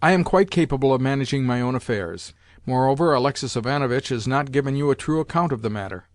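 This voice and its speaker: A man's voice: medium pitch, animated, fast talker, no room sound, studio quality, lightly acted. A man reading aloud, voicing a haughty general who speaks with a lofty, condescending air. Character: haughty, sneering middle-aged male general.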